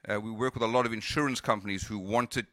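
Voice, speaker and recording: A man's voice: fairly low pitch, steady tone, quick pace, sharp tone, no room sound, studio quality. A man whose accent mixes Cape Town and California, giving a conference talk with frequent hesitations and restarts. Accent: South African and Californian mix. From South Africa. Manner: hesitant, many fillers and restarts. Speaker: conference speaker. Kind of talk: conference presentation.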